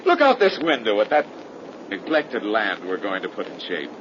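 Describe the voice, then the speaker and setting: An actor playing a brash, sceptical young man, brisk and slangy, in a 1930s radio horror drama. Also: fairly low pitch, big pitch swings. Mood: cheery, impatient. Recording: noisy, dull 1930s radio recording.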